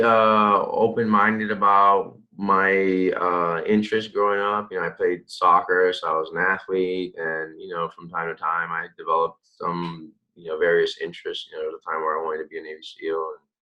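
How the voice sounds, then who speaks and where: A man in his thirties from the Dominican Republic, answering interview questions in a relaxed, rambling way with many fillers and restarts. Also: deep voice, moderate pace, hardly any pauses, dull audio. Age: 30s. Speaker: interview guest, real estate developer.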